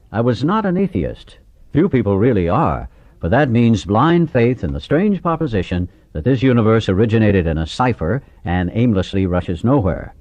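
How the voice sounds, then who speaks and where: A man narrates an audiobook in a low voice that rises and falls widely. His delivery is dramatic and expressive, clearly read from a written text. The recording is slightly dull. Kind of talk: scripted audiobook narration, reading aloud. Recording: dull, echoey room sound.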